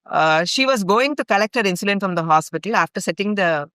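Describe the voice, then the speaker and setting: A woman's voice: mid-range voice, lively intonation, fairly quick, a bit bright, no echo, studio quality. A middle-aged woman from India with an Indian English accent, earnestly telling a long personal story as a podcast guest. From India (grew up in Bhopal). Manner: earnest, recounts events in long chains with fillers. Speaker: guest, longtime ashram volunteer.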